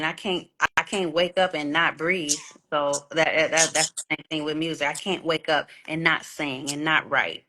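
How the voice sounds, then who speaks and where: Woman in her 30s, r&b singer, chill candid interview talk, curses a lot, kinda low pitched. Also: sharp tone, slight background noise, rough recording. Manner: candid, loose, swears freely, repeats words. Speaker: guest R&B singer and songwriter.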